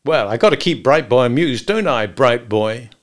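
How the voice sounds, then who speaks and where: A man voicing a sardonic gangster hitman who taunts a captive with slow, mocking talk. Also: mid-range voice, expressive, lightly acted. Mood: smug.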